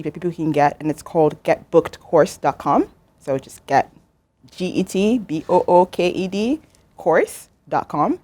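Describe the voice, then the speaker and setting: Low-voiced casual woman guest. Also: darkish tone. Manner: casual, hesitant with fillers, affirming. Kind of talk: interview conversation.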